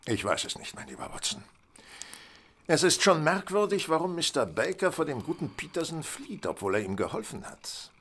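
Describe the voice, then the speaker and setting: An actor playing an assured, keen-witted gentleman detective in an audio drama, speaking in a theatrical, deliberate way. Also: fairly high pitch, sing-song, slight background noise. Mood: reasoning, amused. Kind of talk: German audio drama acting.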